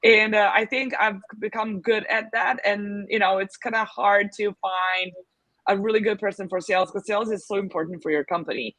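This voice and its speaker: Interviewgast: Frau mit serbischem Akzent, heller Klang, viele Füllwörter, trockene Aufnahme. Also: female voice, medium pitch, steady tone, moderate pace, fairly bright tone, dry recording, low-quality recording. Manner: many fillers, thinks aloud. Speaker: agency founder, guest. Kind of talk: casual interview talk.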